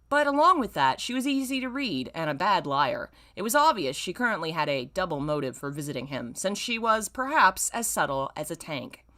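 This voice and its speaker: Very animated, lower-voiced woman reading aloud. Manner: theatrical, expressive. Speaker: host reading fan fiction aloud. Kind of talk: dramatic story reading with amused asides.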